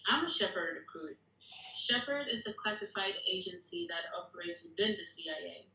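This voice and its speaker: A woman's voice: fairly low pitch, expressive, moderate pace, sharp tone. A woman acting a tough, blunt secret-agency recruit at a screenplay table read. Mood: explaining. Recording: echoey room.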